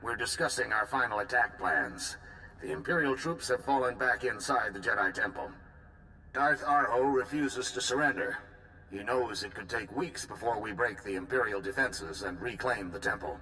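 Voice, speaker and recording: Game cast: a blunt military commander, bright, forceful tone, brisk orders. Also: male voice, fairly low pitch, a little hiss or hum, low-quality recording. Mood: commanding. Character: male military supreme commander, decisive and blunt. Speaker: supreme commander. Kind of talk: game voice acting.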